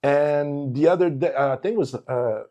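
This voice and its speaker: A man's voice: on the high side, normal speed, dark tone, muffled recording. A middle-aged man born in Portugal, a former teacher talking in a casual conversation, emphatic and given to repeating words as he builds a point.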